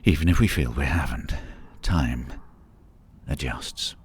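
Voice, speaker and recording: An actor playing an eager, theorising man in an audio drama, explaining emphatically in a gravelly, husky voice. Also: extremely low pitch, slow pace, slight background noise. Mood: musing, persuasive. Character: David, eager theorising man lecturing on time travel.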